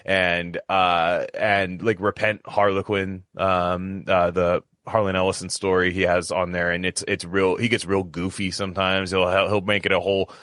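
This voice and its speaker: Low voiced guy, kinda punchy and bright, rambling slangy podcast storytelling, real dry sound. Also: level tone, moderate pace, hardly any pauses, no echo. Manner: rambling, slangy, repeats himself. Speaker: podcast guest telling his story. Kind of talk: casual conversational storytelling.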